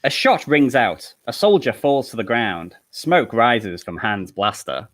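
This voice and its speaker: A man's voice: mid-range voice, lively intonation, unhurried, fairly bright tone. A man reading screenplay stage directions as narrator of a comedy table read, with an amused manner.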